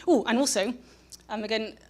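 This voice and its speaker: A woman speaks as the presenter of a public lecture. Her delivery is informal and halting, often breaking off to rephrase. She talks into an event microphone in a live setting. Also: a little high, darkish tone. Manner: informal, halting, self-interrupting. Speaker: public programs manager and lecturer. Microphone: microphone for live streaming.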